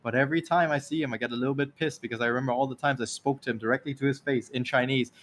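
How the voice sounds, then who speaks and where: A man's voice: medium pitch, steady tone, rapid-fire, a bit bright, slight background noise. A man with a Taiwanese accent chatting casually on a stream, rambling through anecdotes and laughing often. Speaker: stream co-host. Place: lives in Ireland.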